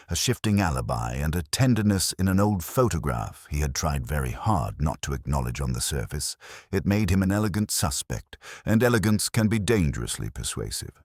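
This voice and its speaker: A man reading a scripted first-person detective story in a measured, solemn, literary manner. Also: very deep voice, dry recording, clean studio sound. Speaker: narrator.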